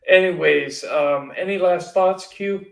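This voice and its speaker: A man's voice: high voice, unhurried, gravelly, slight background noise. A man hosting a live online interview, chatty and informal. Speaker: host. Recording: roomy, distant from the mic.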